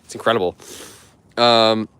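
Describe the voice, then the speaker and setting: A man's voice: fairly low pitch, steady tone, normal speed, fairly bright tone, clear, resonant tone, no echo. A man hosting a live stream from public gardens outdoors, chatting with viewers in a rambling, friendly way full of fillers and restarts. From United States. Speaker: live-stream host answering chat. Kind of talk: casual live-stream chat while walking.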